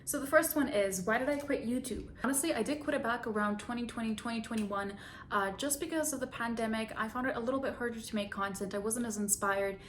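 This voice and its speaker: Young woman vlogger from Bulgaria, fairly high voice, casual chatty Q and A with easy laughs, faint rumble underneath. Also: fast. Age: 20s. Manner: chatty, laughs easily, self-conscious asides. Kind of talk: casual Q and A vlog.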